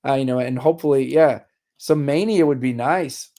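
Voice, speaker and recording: A musician in his forties, from Richmond, Virginia, speaking as a radio guest in a candid, wry, matter-of-fact way. His tone is mellow and relaxed, and his voice sounds a little worn. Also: medium pitch, moderate pace. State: slightly losing his voice. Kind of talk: casual interview chat.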